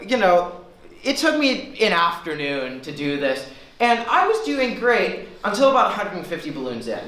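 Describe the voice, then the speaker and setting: High-voiced young man telling a rambling story. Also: fairly bright tone. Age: young adult. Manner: rambling, self-deprecating. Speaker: student stand-up comedian. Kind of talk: open mic stand-up storytelling. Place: student forum room. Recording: echoey room from far off.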